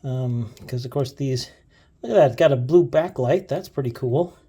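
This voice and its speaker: A man's voice: mid-range voice, normal speed, mellow, slightly rough. A man hosting a casual, unscripted gadget demo, hesitating and thinking aloud as he fiddles. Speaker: gadget video host.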